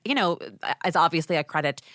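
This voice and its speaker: A woman's voice: on the low side, lively intonation, fast talker, fairly bright tone, no room sound, clean studio sound. A woman interviewer asking casual, chatty questions.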